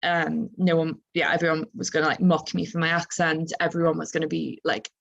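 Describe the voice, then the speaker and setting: A young woman with a softened Liverpool accent, talking informally on a student panel in a chatty, halting, self-correcting way. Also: low voice, little pitch movement, creaky, muffled recording. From Liverpool, England. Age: young adult.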